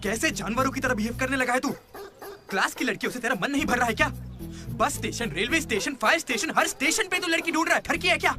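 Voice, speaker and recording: High-pitched, excitable young team captain. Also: male voice, fast. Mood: indignant scolding. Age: young adult. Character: excitable, bossy young college basketball captain. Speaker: college basketball team captain. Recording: loud music behind the voice.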